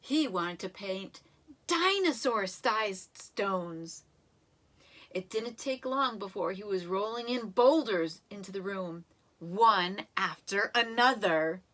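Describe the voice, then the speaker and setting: A woman reading a children's story aloud in an expressive, animated way, with dramatic emphasis and drawn-out words. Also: medium pitch. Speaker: storybook reader.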